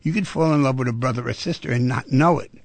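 A man hosting a talk radio show, talking in an animated way and asking rhetorical questions. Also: medium pitch, quick pace, creaky, dry recording.

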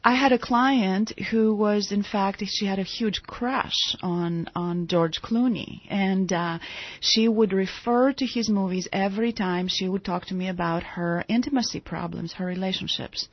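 A woman's voice: mid-range voice, unhurried. A woman from Greece, a therapist and author, telling stories as a radio studio guest with many hesitations and restarts. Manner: storytelling, hesitant with fillers and restarts. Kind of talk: radio interview. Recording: dull lo-fi radio studio audio.

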